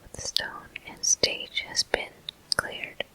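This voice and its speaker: A woman hosting a gameplay video in ASMR style, murmuring softly and gently as she comments. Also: rough recording. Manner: soft, gentle, unhurried murmuring. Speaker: gameplay host.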